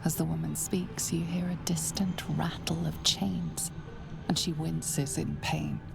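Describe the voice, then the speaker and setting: A solemn storyteller for a dark fantasy game. Her voice is low and heavily gravelled, with measured, hushed delivery over clearly audible music. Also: little pitch movement, exaggerated voice, rough recording. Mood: solemn, ominous. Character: solemn female narrator of a dark fantasy tale. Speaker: game narrator. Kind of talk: second-person scripted game narration. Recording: game music behind the narration.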